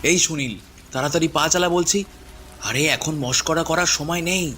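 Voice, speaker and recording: A man plays a bossy, kind-hearted village man in a cartoon. His voice is bright, forceful and fairly high, with expressive delivery and faint rain behind it. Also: normal speed, a little hiss or hum. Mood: irritated.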